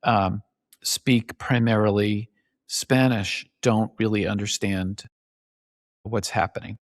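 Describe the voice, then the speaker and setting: Low-voiced man, dry close sound. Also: fairly even intonation, slow pace, no echo. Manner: thoughtful, reflective questioning. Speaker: host, emergency physician. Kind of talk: interview conversation.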